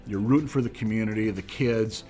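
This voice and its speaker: A man's voice: lowish voice, a little fast, dark tone, soft background music. A man who announces local school games, talking in an easygoing, earnest way in an interview. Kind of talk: interview talk.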